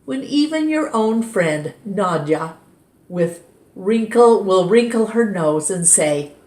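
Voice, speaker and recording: A woman reads a children's picture book aloud at an unhurried, deliberate pace, her voice at a middle pitch. Her delivery is gentle and expressive. The recording carries a noticeable room echo. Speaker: storytime reader. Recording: roomy echo.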